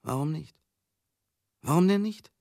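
An actor in a German radio drama monologue, playing a garrulous, rough-mouthed showbusiness man, chatty, theatrical and excitable. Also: on the high side, a little slow, dry recording, studio quality. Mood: agitated confiding. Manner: chatty, theatrical, swinging into outbursts.

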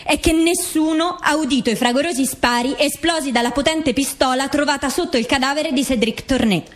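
A murdered wife tells her own case in scripted theatre, played by a woman. Her voice is high for a woman. She speaks in formal, declarative phrases with a probing, questioning manner. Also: a little fast, natural voice. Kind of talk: scripted documentary theatre narration.

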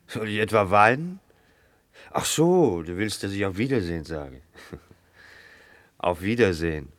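A man's voice: on the low side, very animated, slow, pauses often, no room sound. A man playing a brash, mocking drifter with a bossy, theatrical manner in a German radio play. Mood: wry.

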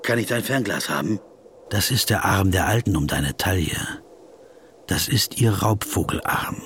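An actor in a radio play voicing a pensive, word-obsessed lawyer lost in reverie, speaking in halting, intimate, poetic musings. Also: male voice, low voice, expressive, a bit bright, slight background noise. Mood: rapt.

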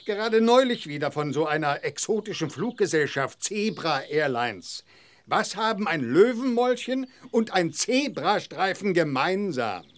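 A man's voice: high voice, sing-song, unhurried. A man playing a grumpy, dry-witted middle-aged father in a children's audio drama. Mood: dismissive.

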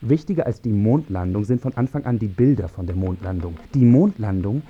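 A man's voice: fairly low pitch, lively intonation, brisk, husky, dull audio. An actor playing a man who expounds in emphatic declarations in a scripted radio drama. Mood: declarative. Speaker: radio play speaker. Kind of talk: scripted German radio drama, essayistic narration.

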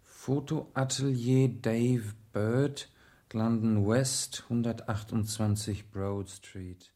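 An actor playing a courteous, inquisitive gentleman detective in a radio play, polite and measured. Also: mid-range voice, fairly even intonation. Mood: polite, probing. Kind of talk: 1970s German radio play acting.

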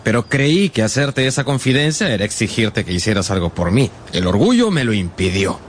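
A proud young suitor speaks with frank, theatrical swings in pitch. The radio drama voice sits over faint ambient noise. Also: male voice, sing-song, fairly quick. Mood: proud, candid. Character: proud, candid young gentleman, rejected suitor.

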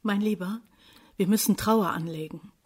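An actress playing a timid, pious wife who speaks meekly but gently insists, in a radio drama. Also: female voice, mid-range voice, normal speed, breathy edge, lightly acted. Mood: timidly insistent. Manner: meek, devout, gently insistent. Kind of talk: German radio drama acting.

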